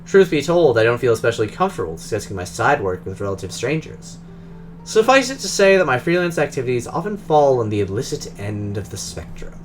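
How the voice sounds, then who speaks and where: A man's voice: mid-range voice, very animated, natural voice. A man putting on a formal Russian drone engineer. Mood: measured. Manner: formal, measured, precise. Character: Russian male drone engineer, polished, guarded.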